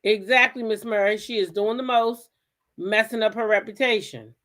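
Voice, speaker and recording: A woman's voice: mid-range voice, normal speed, fairly bright tone. An American woman hosting a gossip talk show, giving blunt, emphatic commentary in a casual style and repeating phrases for effect.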